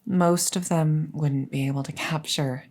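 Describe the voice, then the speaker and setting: A woman's voice: deep voice, fairly even intonation, darkish tone. A woman playing a captured crown princess, haughty but easily flustered and coy, in a roleplay audio drama. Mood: shy, bashful. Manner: stammering, hesitant, halting.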